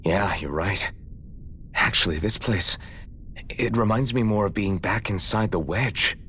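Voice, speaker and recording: An actor playing a determined young male swordsman hero who speaks earnestly and shouts battle cries. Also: fairly low pitch, measured pace, slight background noise. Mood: serious. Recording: game audio with music behind.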